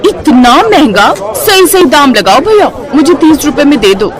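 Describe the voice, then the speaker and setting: Woman acting a curt high-pitched haggling customer. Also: very animated, unhurried, a crowd in the background. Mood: demanding.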